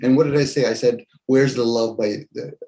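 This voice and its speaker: A man's voice: medium pitch, steady tone, brisk, warm tone. Man, 50s, show host, casual rambling talker in a roomy space. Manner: rambling, reflective, jokey. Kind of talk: casual group chat. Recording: roomy with faint noise.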